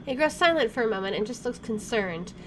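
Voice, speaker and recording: A woman with a fairly high voice reads story narration from a visual novel as a game streamer. Clear noise runs behind her in a home recording. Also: lively intonation, a little fast. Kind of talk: reading visual novel narration aloud with asides.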